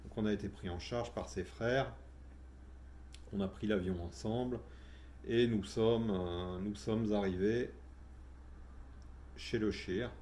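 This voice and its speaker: A man's voice: fairly low pitch, steady tone, slow pace, long pauses, a little mellow, a little hiss or hum. A middle-aged man telling his personal story in a casual, hesitant way, with many fillers and restarts. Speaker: vlogger telling his spiritual journey.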